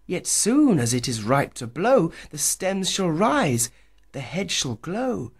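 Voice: medium pitch, very animated, slow pace, slightly rough, pitched above the performer's own voice, heavily acted. A reader voicing a swooning young woman in a pastoral love poem, with rapturous, breathless speech and whispered gasps. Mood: admiring. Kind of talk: dramatic poetry reading.

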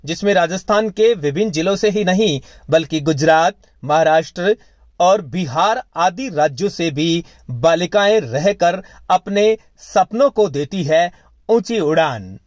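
High, dry-voiced male ad narrator. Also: lively intonation, measured pace, dry recording. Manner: emphatic, persuasive sales delivery. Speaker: advertisement narrator. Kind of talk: promotional voice-over.

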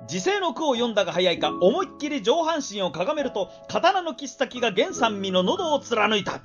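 An actor playing a boastful young ex-bandit samurai with an eloquent, rough-tongued, theatrical delivery in a radio drama. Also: male voice, very high voice, big pitch swings, fairly quick, lo-fi sound, music in the background, heavily acted. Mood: dramatic narration. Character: boastful, glib 25-year-old ex-bandit samurai.

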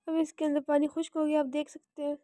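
A woman's voice: high-pitched, monotone, fast talker, dark tone, dry recording, clean studio sound. A woman hosting a cooking channel, narrating a recipe step by step in a plain, repetitive instructional voice-over.

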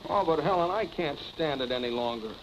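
An actor playing a lovesick, slow-witted young prizefighter with slangy tough-guy talk in a 1940s film. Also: on the high side, expressive, close to an everyday voice. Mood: agitated, wounded. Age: young adult. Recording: noisy vintage film soundtrack.

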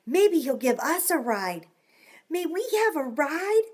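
Woman acting excited, high-pitched little kids. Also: takes it slowly, full character voice. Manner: bright-eyed, exclaiming, simple childlike phrases. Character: group of excited, playful young children.

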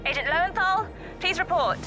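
A woman's voice: very high voice, fairly quick. An actress playing a stern, exasperated woman commander giving terse orders to agents in a video game. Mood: commanding. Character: stern female commander directing agents over radio, exasperated. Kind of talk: video game mission dialogue. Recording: loud game music behind.

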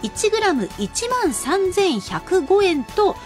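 A woman presenting a live radio sales pitch with emphatic delivery, slowing to spell out key figures. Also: very animated. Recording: music bed under the voice.

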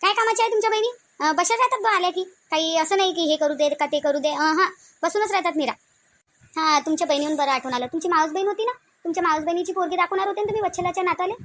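A woman voicing a sharp-tongued, middle-aged village mother-in-law in a comic cartoon, complaining and scolding in a Varhadi Vidarbha accent. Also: very high voice, rapid-fire. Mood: grumbling. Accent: Varhadi Marathi of Vidarbha. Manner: complaining, scolding, rhetorical questions.

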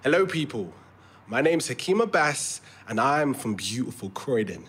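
A man's voice: mid-range voice, big pitch swings, moderate pace, a bit bright, slightly rough. An actor playing an eager, earnest young London theatre actor in an audio drama, overenthusiastic and stumbling over his words, with a London accent. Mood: earnest, upbeat. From London, England. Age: young adult. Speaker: actor in a fiction podcast. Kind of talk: naturalistic audio drama dialogue. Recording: faint ambient background noise.

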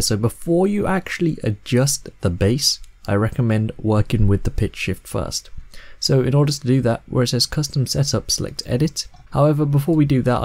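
A young man in his twenties walks through a screen tutorial at a medium pitch. He explains each step casually and plainly, as an online host would. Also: darkish tone, no echo. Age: 20s. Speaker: host of video editing tutorials.

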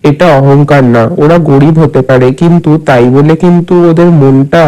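Gentle, flat-toned village husband played by a man. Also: a little high, normal speed, smooth, close to an everyday voice, low-quality recording. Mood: reasoning. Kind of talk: Bengali moral-tale cartoon dubbing.

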